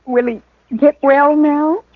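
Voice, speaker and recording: An actress playing a worried young daughter on the frontier, speaking in halting, stammering bursts in a radio drama. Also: female voice, high voice, steady tone, no room sound. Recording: tinny vintage radio broadcast.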